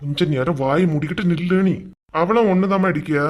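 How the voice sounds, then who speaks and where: A woman voicing a placating husband and dutiful son in a comedy cartoon, with a Kanyakumari Tamil accent and a hushing manner. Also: on the low side, a little fast, dull audio, close to an everyday voice.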